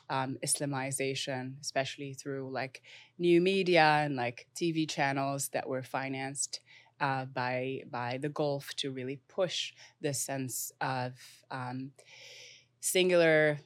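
Young woman guest from Morocco, low voice, measured and reflective, dry close recording. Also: no room sound. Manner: thoughtful, many fillers. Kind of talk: conversational interview.

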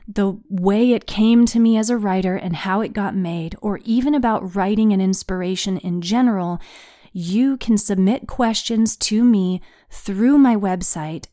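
Measured woman storyteller, dry close sound. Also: mid-range voice, warm tone, dry recording. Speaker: writer, narrator and podcast host. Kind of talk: dramatic scripted story narration and host's outro.

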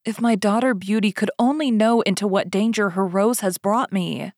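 A woman's voice: mid-range voice, normal speed, darkish tone, dry recording, clean studio sound, close to an everyday voice. Woman reading as humble, pleading storybook merchant. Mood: despairing. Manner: pleading, deferential. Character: humble, frightened merchant, a devoted father. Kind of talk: storybook character voice.

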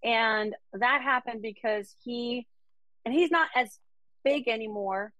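A woman's voice: on the high side, takes it slowly, fairly bright tone, no room sound, muffled recording. A woman from Denmark, a country singer-songwriter, chatting enthusiastically about artists she has opened for in a casual interview.

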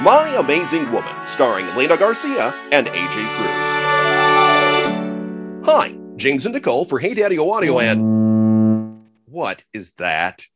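A man's voice: mid-range voice, wildly swooping pitch, a little slow, long pauses, over loud music. A man reading playful scripted promos as an announcer for an audio show. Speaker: announcer and sponsor spokesman.

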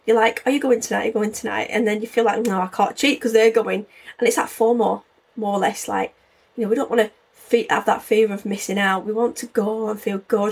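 Husky-voiced woman podcast host. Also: medium pitch. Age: 40s. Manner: chatty and rambling, many fillers. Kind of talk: casual solo podcast chat.

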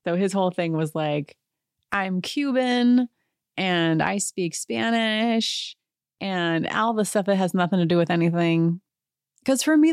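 Low-voiced sarcastic woman podcaster. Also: a little mellow, no echo, clean studio sound. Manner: sarcastic, chatty, laughs often. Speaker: podcast host. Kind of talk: casual chat.

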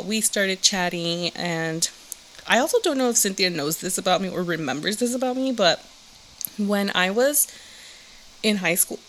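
A woman's voice: lowish voice, fairly bright tone, slight background noise, rough recording. A young woman from Southern California, co-hosting a podcast and chatting casually, laughing often and saying "like" a lot. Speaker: podcast co-host. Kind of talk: casual chat.

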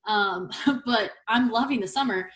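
A woman's voice: on the high side, measured pace, fairly bright tone, rough recording. An upbeat, chatty woman from Texas who laughs easily, speaking casually as an interview guest on a sports podcast. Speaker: podcast guest, baseball broadcaster.

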